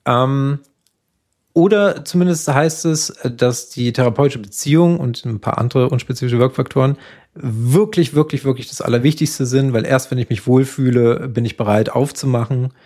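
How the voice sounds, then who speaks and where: A man's voice: medium pitch, normal speed. Man explaining casually. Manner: relaxed, explains with fillers and tag questions. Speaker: psychotherapist guest. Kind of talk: casual podcast conversation.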